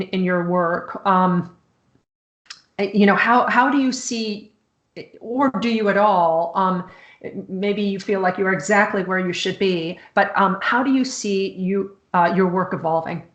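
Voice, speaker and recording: A woman's voice: mid-range voice, fairly bright tone, echoey room. A woman author hosting a casual conversation, a native Czech speaker raised in the United States, talking with many restarts and fillers. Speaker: host, author.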